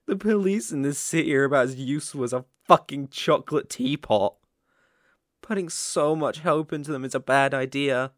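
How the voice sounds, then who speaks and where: A man playing a sadistic, obsessive young kidnapper in an ASMR roleplay, gloating with menacing, mocking, drawn-out phrasing. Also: medium pitch, no echo, clean studio sound, close to an everyday voice.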